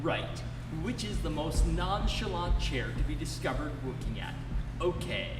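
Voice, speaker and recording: A man playing a cocky, excitable space rogue in a live stage radio play, with a swaggering, breezy manner. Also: a little high, a little slow. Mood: smug excitement. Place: live stage performance in a hall. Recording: echoey hall, distant mic, music behind.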